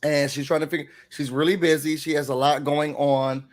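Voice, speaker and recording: A slightly hoarse man hosting a casual TV recap chat, telling the story animatedly and acting out quotes. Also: on the high side, level tone, normal speed. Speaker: recap show host.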